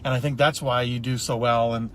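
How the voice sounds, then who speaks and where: A man's voice: mid-range voice, level tone, a little fast, fairly bright tone, a little hiss or hum. Chatty man hosting in a car. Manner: chatty, enthusiastic, praising. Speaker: host. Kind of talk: casual interview.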